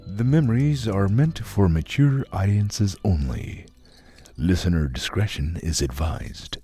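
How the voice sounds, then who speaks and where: A man's voice: low voice, expressive, measured pace, mellow, husky, soft background music, heavily acted. A man playing an ominous horror anthology announcer, delivering a theatrical scripted introduction. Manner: portentous, theatrical. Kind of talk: dramatic scripted intro narration.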